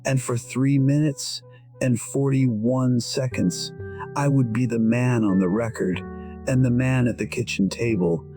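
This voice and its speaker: A man playing a wistful musician in his sixties, telling his own story in a reflective, dryly wry, measured way over music. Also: mid-range voice. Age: 60s. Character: wistful 62-year-old male musician narrating his life. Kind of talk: scripted first-person storytelling. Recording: soft music behind the voice. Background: instrumental music.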